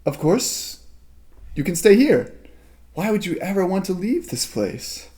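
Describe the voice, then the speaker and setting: A man playing a sinister young ghost twin in an ASMR roleplay, teasing and romantic, with theatrical, drawn-out phrasing. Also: high voice, big pitch swings, a little mellow. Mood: playful menace.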